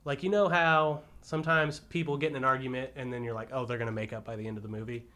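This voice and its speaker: A man's voice: mid-range voice, fairly even intonation, fast talker, pushed and bright. Casual, opinionated man reviewing movies. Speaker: podcast co-host and film reviewer.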